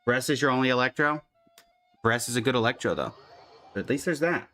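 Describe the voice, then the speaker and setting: A man's voice: medium pitch, brisk, sharp tone, faint music behind. A man giving casual, chatty commentary on a game livestream, talking to his chat and humming little filler syllables.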